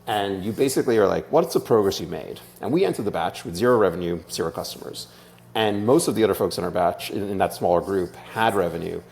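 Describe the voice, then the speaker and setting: Irish male founder as interview guest, very low gravelly voice, casual explainer, faint hum. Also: lively intonation, fast talker, a little mellow. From Ireland.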